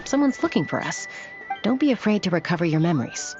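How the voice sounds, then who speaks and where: A woman's voice: on the low side, very animated, quick pace. A woman voicing an easygoing, reassuring young adventurer in a video game, talking casually and reflectively. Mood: gentle, reassuring. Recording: slightly dull game audio with music.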